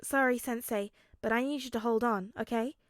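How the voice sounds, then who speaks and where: A woman voicing a kind, gentle, apologetic teenage boy hero in an earnest, reassuring way. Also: on the high side, steady tone, brisk, dry recording, clean studio sound, natural voice.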